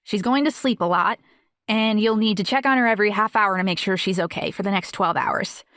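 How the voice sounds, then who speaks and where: A woman's voice: mid-range voice, brisk, fairly bright tone, dry recording, studio quality, natural voice. A young woman playing a self-assured, talkative friend who reassures a worried parent in a fluent, matter-of-fact way in an audiobook. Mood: matter-of-fact, reassuring. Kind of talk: audiobook dialogue acting.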